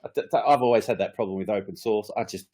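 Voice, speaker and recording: A man's voice: fairly low pitch, fairly even intonation, quick pace, mellow. A middle-aged man co-hosting a casual podcast, ranting with frequent swearing and laughter as he vents about frustrations. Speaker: podcast co-host. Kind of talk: casual chat.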